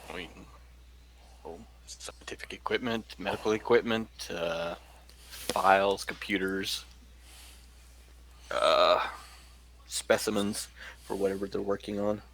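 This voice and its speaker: A man's voice: low-pitched, fairly even intonation, slow, gravelly, long pauses, a bit bright, slight background noise, exaggerated voice. A man voicing a confused young male medic student who hesitates and stammers, just woken up. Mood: flustered. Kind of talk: in-character roleplay.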